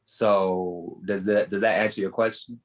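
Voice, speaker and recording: Podcast guest: deliberate man with a fairly low voice, searching for words. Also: steady tone. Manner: slow, thoughtful, searching for words. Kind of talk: casual interview talk.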